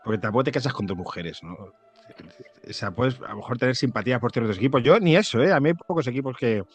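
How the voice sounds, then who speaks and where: A man's voice: medium pitch, animated, quick pace, breathy edge, dull audio, faint music behind. A man from Madrid with a Spain Spanish accent, chatting anecdotally as a guest, often hesitating and repeating his words. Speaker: podcast guest, journalist and screenwriter. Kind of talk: casual anecdotal chat.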